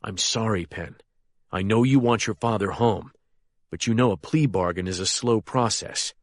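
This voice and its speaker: A man voicing a blunt federal agent who talks in a gruff, clipped, exasperated way. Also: fairly low pitch, moderate pace, no echo, clean studio sound, natural voice.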